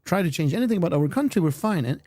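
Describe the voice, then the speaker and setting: A man talks in a casual podcast conversation, with a somewhat high, very animated voice. He rambles and restarts his sentences often. The sound is dry and close. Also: very fast, no echo. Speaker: guest in a played podcast clip.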